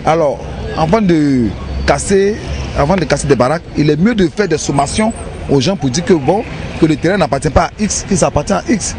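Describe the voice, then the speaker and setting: Ivorian guy in a street interview, highish voice, emphatic, repeats himself, loud traffic noise. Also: lively intonation, fairly quick. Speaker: aggrieved resident interviewed on the street. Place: outdoors on a city street. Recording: noisy outdoor street with traffic.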